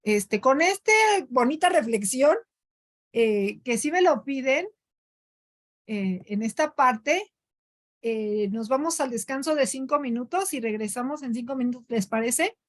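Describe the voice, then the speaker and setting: A woman's voice: sits high, animated, measured pace. A Mexican woman teaching a workshop over a video call, talking conversationally with many fillers and self-corrections. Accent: Mexican Spanish. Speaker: workshop instructor. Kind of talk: online training session.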